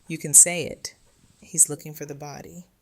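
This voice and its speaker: Woman as a tough grieving widow in an audio drama, really low voice, weary and frank. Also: steady tone, moderate pace.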